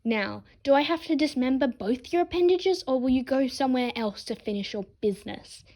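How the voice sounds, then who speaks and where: A woman's voice: sits high, fairly quick, a little mellow, pitched above the performer's own voice. A woman voicing a brash, sarcastic, bossy girl with a blunt, mocking manner in an audiobook-style fan story. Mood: exasperated, mocking.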